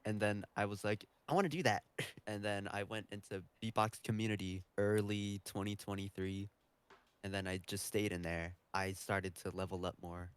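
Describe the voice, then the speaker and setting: A man's voice: on the low side, flat delivery, normal speed, clear, resonant tone. A man answering interview questions casually as a guest on a podcast. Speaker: podcast guest, competitive beatboxer.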